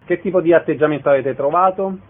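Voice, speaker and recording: A man's voice: fairly high pitch, fast talker, phone-quality sound. A man hosting a radio programme, asking questions and announcing events. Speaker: radio host, interviewer.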